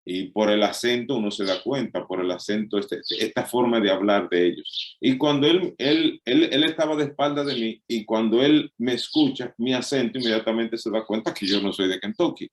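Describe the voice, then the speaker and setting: A man's voice: mid-range voice, moderate pace. Dominican man preaching in an echoey room. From Dominican Republic. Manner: conversational preaching with frequent restarts and filler "eh". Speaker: pastor. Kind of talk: sermon.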